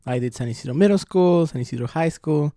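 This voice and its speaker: A man's voice: a little high, quick pace, dark tone, no room sound, studio quality. A young man from Tijuana, a university student giving a casual interview answer with a few hesitations. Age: young adult.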